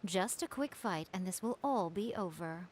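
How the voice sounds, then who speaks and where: An actress voicing a poised, teasing, aloof fox-spirit priestess in a video game, sly and condescending. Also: female voice, lowish voice, expressive, moderate pace, a little hiss or hum, lightly acted. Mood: coolly amused.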